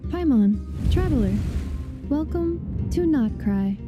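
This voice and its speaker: A woman voicing a polite, literal-minded young female robot in a video game, measured and deadpan. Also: high-pitched, over music. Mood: welcoming. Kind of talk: animated video game voice acting.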